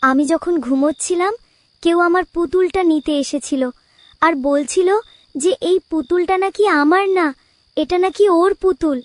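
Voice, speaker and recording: Woman as a high-voiced innocent little schoolgirl. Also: normal speed, darkish tone, dry recording. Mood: earnest. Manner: childlike, earnest. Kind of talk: Bengali cartoon story acting.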